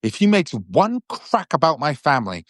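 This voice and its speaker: A man's voice: a little high, sing-song, normal speed, fairly bright tone, no echo, studio quality, voice pushed up. A man voicing a hot-tempered, blunt schoolboy in a bedtime storybook reading. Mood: angry, defiant. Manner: indignant, blunt. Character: Ron, hot-tempered schoolboy, awkward and defiant.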